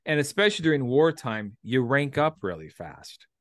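A man's voice: mid-range voice, big pitch swings, dry recording. Man in his thirties, dry close sound. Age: 30s. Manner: halting, reflective, salty language. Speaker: writer and performer, military veteran. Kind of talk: casual creative discussion.

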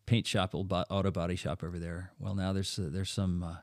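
Deep-voiced older man, animated storyteller. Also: brisk, dry recording, clean studio sound. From Netherlands. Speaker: pastor, podcast guest. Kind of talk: casual interview chat.

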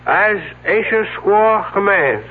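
An actor in a 1970s radio drama playing a solemn, eccentric self-styled ancient mystic who speaks in stilted oracles. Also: male voice, high-pitched, big pitch swings, takes it slowly, heavily acted. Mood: solemn, mystical. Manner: solemn, stilted oracular phrasing. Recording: muffled lo-fi old radio broadcast.

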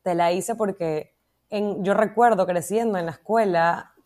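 A woman hosting a podcast and asking relaxed interview questions. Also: mid-range voice, unhurried. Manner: relaxed, asks questions and agrees. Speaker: podcast host. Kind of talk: casual interview chat.

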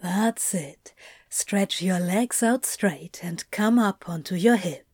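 A teasing, firm male exercise coach in an audio story, acted by a woman. The delivery is unhurried and playful, at a middling pitch for a woman. The sound is very dry, with coaxing authority in each instruction. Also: a little mellow, husky, no room sound. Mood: instructing. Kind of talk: acted audio fiction reading.

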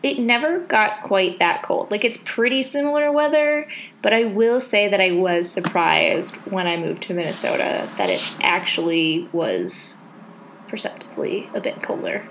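A woman's voice: medium pitch, expressive, measured pace, a bit bright, a little hiss or hum, low-quality recording. A woman from South Dakota co-hosting an arts podcast, chatting casually with lots of fillers and easy laughs. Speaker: podcast co-host. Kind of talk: casual chat.

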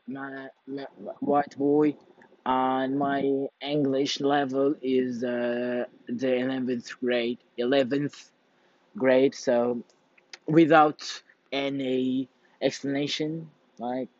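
Young man, Portuguese accent, slow halting speech, non-native English, muffled lo-fi recording. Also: medium pitch, a little mellow, dry recording. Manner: halting, searching for words, many restarts. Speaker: vlogger. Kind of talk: casual rambling monologue. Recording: dull lo-fi dry sound.

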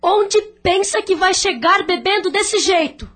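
An actress playing a patient, caring woman in a radio drama, earnest and gently reproachful, with a Brazilian accent. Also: very high voice, a little slow. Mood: worried, urging. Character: caring, patient, worried woman pleading with troubled man.

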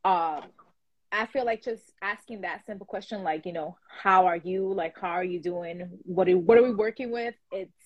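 A young woman who works as a therapist, guesting on a podcast over a call, relaxed with lots of fillers. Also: lowish voice, moderate pace, no echo, lo-fi sound. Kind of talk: casual conversation.

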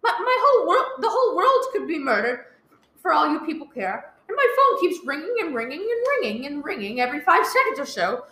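A woman playing a nervous, demanding bedridden wife in an old-time radio thriller, agitated and stammering with shrill complaints. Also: sing-song, fairly quick, bright, forceful tone, heavily acted. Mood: indignant. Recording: roomy, echoing live recording.

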